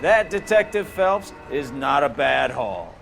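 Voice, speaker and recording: A man's voice: mid-range voice, sing-song, measured pace, creaky, noisy background. A man playing a crude, pompous middle-aged police captain who speechifies in a crime game. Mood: smug, approving. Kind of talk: dramatic game acting.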